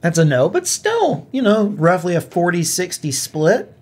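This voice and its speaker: A man's voice: sits high, wildly swooping pitch, measured pace, breathy edge. A man co-hosting a gaming podcast, talking in a casual, conversational style. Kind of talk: casual chat.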